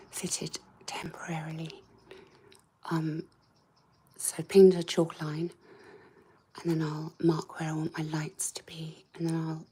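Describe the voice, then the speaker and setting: A woman with a croaky, mostly whispered voice, giving a casual, hesitant tour of the attic she is renovating, filmed on a handheld camera. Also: sits low. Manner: soft, hesitant, trailing off with ums. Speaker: home renovation vlogger. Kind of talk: casual walk-through tour. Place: indoors in a cramped attic.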